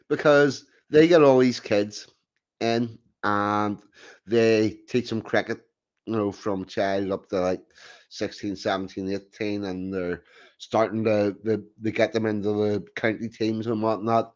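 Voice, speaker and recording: A man with a fairly low voice talks slowly and bluntly, giving strong opinions and restarting his sentences. The sound is muffled and lo-fi. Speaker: host. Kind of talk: casual chat. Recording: dull lo-fi sound.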